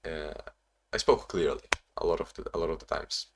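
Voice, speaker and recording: Young man, very low voice, halting, reflective, sleepy, casual video diary. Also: background noise. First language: not English; Portuguese not first language either. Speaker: vlogger. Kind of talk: casual diary-style vlog.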